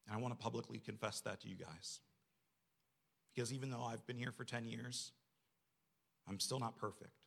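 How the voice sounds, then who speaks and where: Bright, gravelly male preacher. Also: mid-range voice, steady tone, moderate pace, long pauses. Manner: conversational, self-deprecating, rhetorical questions. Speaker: church staff member preaching. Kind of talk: sermon to a congregation.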